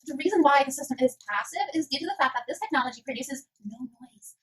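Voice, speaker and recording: A woman's voice: high-pitched, expressive, quick pace. A woman voicing an earnest puppet science co-presenter, reading scripted educational narration in an explanatory way. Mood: earnest explaining.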